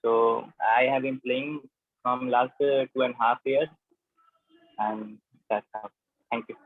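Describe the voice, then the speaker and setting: A man's voice: mid-range voice, steady tone, measured pace, breathy edge, no room sound. Young man, Indian English accent, brief and simple, tinny dry phone-like audio. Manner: short, simple sentences. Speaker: participant, cricketer.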